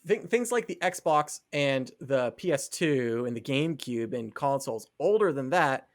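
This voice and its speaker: A man's voice: mid-range voice, expressive, a little slow. A man co-hosting a podcast, talking casually and explaining his opinions on video games. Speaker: podcast co-host.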